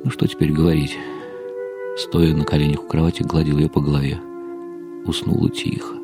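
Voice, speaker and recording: An actor playing a weary, bitter older husband, narrating a radio drama in a brooding, ironic way with drops into whispers. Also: male voice, rumbling low, flat delivery, brisk. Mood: tired tenderness. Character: weary, bitter husband of an alcoholic, wryly despairing. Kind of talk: dramatized first-person literary narration for radio. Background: faint music.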